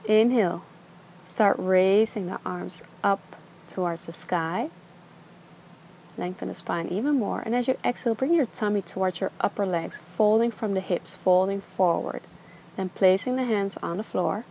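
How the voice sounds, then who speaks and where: A young woman teaching a gentle yoga class, giving slow, soothing instructions. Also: medium pitch, gravelly, a little hiss or hum, rough recording. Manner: calm, slow, soothing cues. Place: outdoors on a beach.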